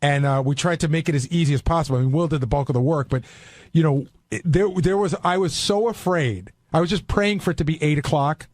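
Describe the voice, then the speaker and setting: A man's voice: on the high side, fairly quick, a little mellow, no room sound. A man chatting casually on a radio talk show, explaining things in a rambling way. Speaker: radio show staff member on air. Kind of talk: casual studio discussion.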